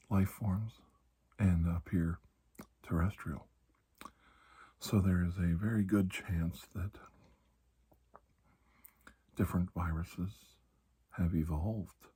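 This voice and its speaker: A man playing a calm, reassuring doctor in a soft-spoken ASMR roleplay, speaking slowly and deliberately in a breathy, husky voice that drifts into whispers. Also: deep voice, slight background noise. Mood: calm, pondering. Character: calm, reassuring male doctor treating alien skin disease.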